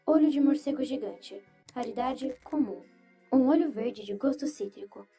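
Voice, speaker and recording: A neutral game interface voiced by a woman in an audio story. The voice is high and sits over clear music. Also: moderate pace, warm tone. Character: neutral female game interface reading item descriptions. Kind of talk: item announcement in an audio story.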